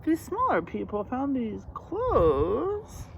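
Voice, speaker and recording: Animated, high-voiced woman reading to children. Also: slow pace, creaky, a little hiss or hum. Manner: simple explanations and questions to young listeners. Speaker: children's read-aloud host. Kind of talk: animated storybook read-aloud for children.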